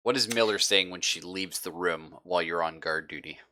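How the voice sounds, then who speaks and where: A man's voice: low voice, normal speed, pushed and bright. A man running a tabletop roleplaying game as game master, talking casually and checking in with his players as he sets out scenes.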